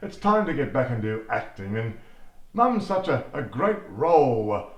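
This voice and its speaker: A man playing a self-important radio producer turned actor in a comedy drama, speaking in a halting, hesitant way. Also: mid-range voice, very animated, dull audio, close to an everyday voice. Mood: pleased, pompous. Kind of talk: amateur-style comedy drama dialogue. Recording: echoey room, distant microphone.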